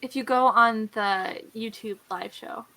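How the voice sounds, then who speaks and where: A young-sounding, high-pitched woman leads a casual group book chat. Her tone is bright and forward, with a giggly, animated way of reacting. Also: measured pace, slight background noise, rough recording. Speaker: live book discussion host.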